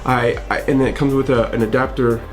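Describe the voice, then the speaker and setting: A man's voice: mid-range voice, little pitch movement, brisk, soft background music. Upbeat young man rambling through a vlog. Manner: rambling and upbeat, lots of fillers and restarts. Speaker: vlogger. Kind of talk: casual vlog studio tour. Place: small home office studio, indoors.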